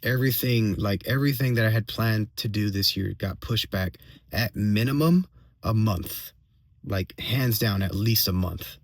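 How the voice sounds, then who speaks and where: Slightly hoarse, low-voiced man venting casually. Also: no room sound, clean studio sound. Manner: halting, repeats phrases, seeks agreement with tag questions. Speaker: vlogger giving a personal weekly update. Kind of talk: casual solo monologue, venting.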